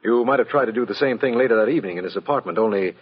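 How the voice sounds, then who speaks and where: On a dull old radio recording, a stern investigator in a classic mystery drama. The voice is husky and official, putting each point formally and pointedly. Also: male voice, on the low side, racing. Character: stern, formal male city official questioning suspects.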